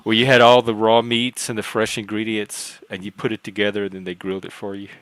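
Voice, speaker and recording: Low-voiced laid-back male stream host. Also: little pitch movement, fast, a bit bright, no room sound, low-quality recording. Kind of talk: casual online panel chat. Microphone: streaming setup mic.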